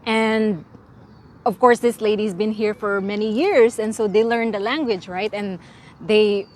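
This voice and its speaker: A woman's voice: mid-range voice, fairly even intonation, a little slow. A young woman from the Philippines with a Filipino accent, answering interview questions frankly and earnestly, often restarting her words. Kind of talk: casual interview.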